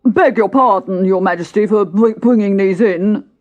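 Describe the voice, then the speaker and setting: A woman's voice: mid-range voice, normal speed, a little mellow, voice pushed up, close to an everyday voice. A woman voicing a nervous, apologetic man, a poor hatter, hesitant and deferential, for a storybook reading. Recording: dull cassette transfer with music.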